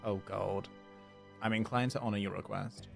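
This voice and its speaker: A man's voice: fairly low pitch, fairly even intonation, normal speed, gravelly. A man livestreaming a video game, reacting playfully and reading along. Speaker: game streamer. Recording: faint game music behind.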